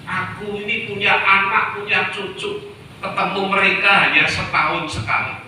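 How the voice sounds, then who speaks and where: A middle-aged man from Solo, giving a Confucian sermon in a storytelling way, slowing down to stress key words. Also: sits high, pushed and bright, slight background noise. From Solo, Central Java, Indonesia. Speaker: Confucian preacher. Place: temple hall. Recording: echoey hall, voice from far off.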